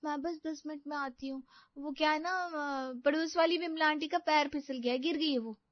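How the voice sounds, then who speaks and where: A woman voicing a young, quick-witted daughter-in-law in a family story, complaining and glib in manner. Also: high-pitched, steady tone, no echo, muffled recording, natural voice. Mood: flustered.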